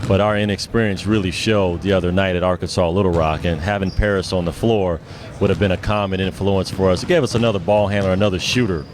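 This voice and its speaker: Low-voiced male coach, noisy background. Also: steady tone, quick pace. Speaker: basketball head coach. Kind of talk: casual sports interview answers.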